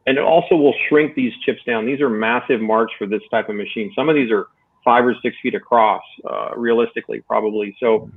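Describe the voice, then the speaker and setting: A man's voice: mid-range voice, fairly even intonation, a little fast, slightly rough. A man talking casually as a contest judge, explaining technique in a conversational, encouraging way. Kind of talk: casual spoken critique and advice. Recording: thin phone-like voice channel.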